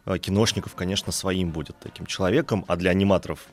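A man's voice: deep voice, steady tone, quick pace. A man giving a conversational lecture as an expert guest, often searching for his words. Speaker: expert guest on animation history.

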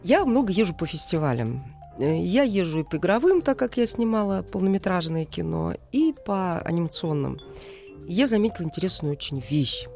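A woman's voice: lowish voice, sing-song, fairly quick, gravelly, a little mellow. Reflective middle-aged woman, music behind. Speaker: guest, animation film director. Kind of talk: thoughtful conversational interview answers.

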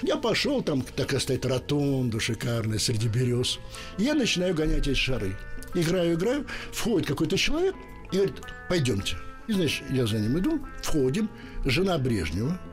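Older guy from ukraine spinning a story, pitch jumping all over, kinda high voice, faint music under it. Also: normal speed. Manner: lively anecdotal storytelling, acts out quoted voices. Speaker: interpreter, interview guest. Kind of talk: storytelling in a radio interview.

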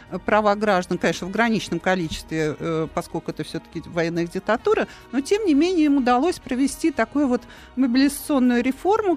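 A woman's voice: fairly high pitch, darkish tone. Hesitant, explanatory woman guest on radio. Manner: explains at length, with many hesitations. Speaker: guest economist. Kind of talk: radio talk show discussion.

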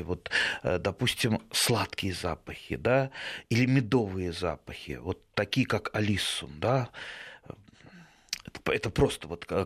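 Lower-voiced man in unhurried radio gardening talk. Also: no room sound. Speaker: radio show guest expert on gardening. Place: radio studio.

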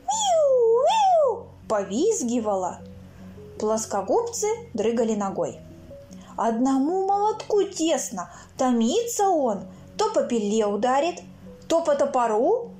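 A woman reading her own children's stories aloud in an expressive, theatrical way, acting out sounds and characters. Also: high voice. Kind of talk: expressive children's storybook narration. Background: music.

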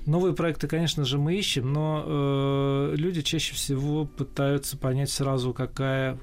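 A man's voice: mid-range voice, level tone, moderate pace, soft background music. A man answering as an interview guest, speaking conversationally in short, reflective, slightly hesitant fragments. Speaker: animation studio executive, interview guest.

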